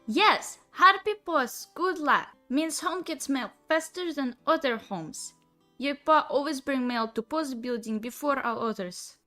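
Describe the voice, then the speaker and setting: Woman voicing an eager, high-pitched harpy girl. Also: lively intonation, unhurried, bright, forceful tone, faint music behind, exaggerated voice. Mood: fond, earnest. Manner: broken English, clipped phrasing, eager. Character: cheerful simple-spoken female harpy with wing claws.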